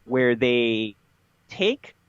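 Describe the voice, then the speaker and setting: A man speaking as a podcast guest, telling a rambling story in a matter-of-fact, halting way with repeated words. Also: mid-range voice, lively intonation, clean tone, dry recording, lo-fi sound.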